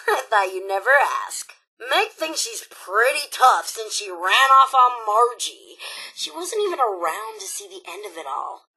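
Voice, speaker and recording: A woman's voice: on the high side, bright, forceful tone, husky, full character voice, rough recording. A woman voicing a sassy, impatient female robot in a video game, theatrical and sarcastic, with exaggerated swings of emphasis. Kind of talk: video game character dialogue.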